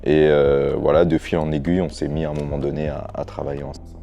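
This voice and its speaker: A man's voice: rumbling low, flat delivery, fast, creaky, muffled recording. A man speaking as a podcast guest, explaining things in an informal, conversational way. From France, Paris suburbs, Martinican family. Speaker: podcast guest, financial educator. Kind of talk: casual interview, recounting his path.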